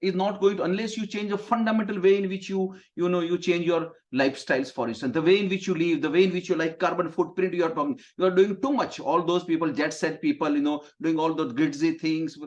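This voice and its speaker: Gujarati-speaking indian guy moderating a webinar, fairly high voice, says you know constantly, muffled lo-fi audio. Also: fairly even intonation, fast, few pauses, a little mellow. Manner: rambling, heavy "you know" fillers and restarts. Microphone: video call. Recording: dull lo-fi call audio.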